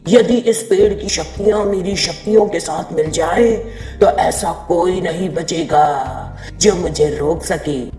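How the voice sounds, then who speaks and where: Guy doing a evil ghost lady for a kids story, high voice, gloating n boastful, music behind. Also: takes it slowly, darkish tone, roomy sound. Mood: scheming, gloating. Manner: gloating, boastful villain declamation. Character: gloating evil female ghost, power-hungry and menacing.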